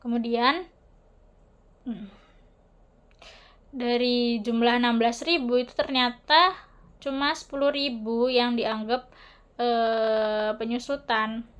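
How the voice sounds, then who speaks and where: Halting young woman, higher voice. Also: fairly even intonation, clear, resonant tone. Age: young adult. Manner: halting, hesitant delivery. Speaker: university student presenting. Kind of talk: explanatory class presentation.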